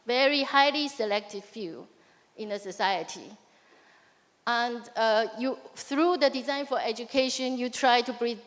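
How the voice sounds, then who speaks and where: A woman moderating a live panel on stage, speaking haltingly with many fillers and restarts. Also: on the high side. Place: large hall on stage. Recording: reverberant hall, faint music.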